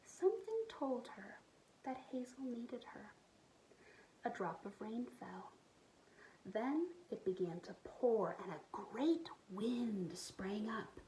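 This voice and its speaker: A woman with a fairly high, soft-edged mellow voice reads aloud for schoolchildren. Her storytelling is expressive, with explanatory asides suited to young listeners. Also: slow, slight background noise, poor audio. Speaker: storytime reader for a school community. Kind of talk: children's picture book read-aloud with asides.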